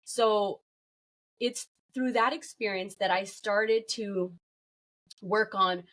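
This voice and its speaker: A woman's voice: mid-range voice, steady tone, takes it slowly, fairly bright tone. Woman podcast guest chatting about herself, normal mid-range voice, conversational. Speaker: podcast guest, workplace well-being consultant. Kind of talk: conversational interview talk.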